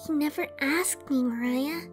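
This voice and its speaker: Young cartoon pony queen, played by a woman: high, soft-hearted, hesitant and melancholy. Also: normal speed, music in the background, lightly acted. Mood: sad. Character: gentle, sad young pony mare made queen. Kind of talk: animated fan drama acting.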